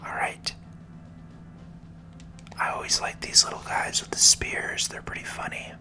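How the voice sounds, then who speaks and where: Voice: measured pace, bright, forceful tone. Whispered ASMR gaming host. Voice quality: breathy unvoiced whisper. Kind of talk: whispered ASMR game commentary.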